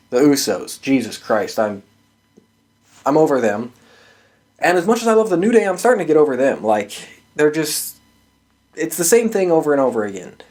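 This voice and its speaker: A man's voice: on the high side, darkish tone. Blunt, sweary man giving casual commentary. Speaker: wrestling podcast host giving predictions. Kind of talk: casual opinionated solo commentary.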